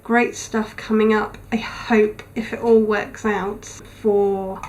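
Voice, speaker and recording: A tired woman recording a casual video diary, her voice thin and weak, talking haltingly with many pauses. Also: medium pitch, little pitch movement, a little mellow, a little hiss or hum, rough recording. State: tired, low on energy. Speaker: vlogger. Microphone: camera mic.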